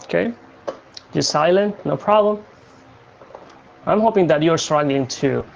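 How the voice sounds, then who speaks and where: A young man from Indonesia hosting a casual livestream chat, talking in a halting way with many fillers and restarts. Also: a little high, creaky, highs cut off, slight background noise. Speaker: livestream host.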